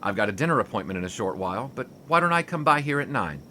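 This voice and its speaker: An actor playing a sarcastic 1920s sports writer, a jilted lover who tosses off quick wisecracks and bits of French. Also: male voice, mid-range voice, big pitch swings, sharp tone, a little hiss or hum. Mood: charming. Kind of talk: 1920s period audio drama.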